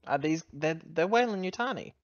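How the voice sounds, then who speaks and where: A woman role-playing casually at a tabletop game, talking in a low-key, weary manner. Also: expressive, normal speed, dry recording, dull audio. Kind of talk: casual in-character chat.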